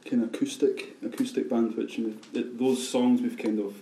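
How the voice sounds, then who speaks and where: A man's voice: mid-range voice, level tone, normal speed, warm tone, recorded from a distance. A man who plays guitar and sings in a band, talking easily and joking in an interview. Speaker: guitarist and singer. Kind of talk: casual interview chat. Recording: echoey room, away from the mic.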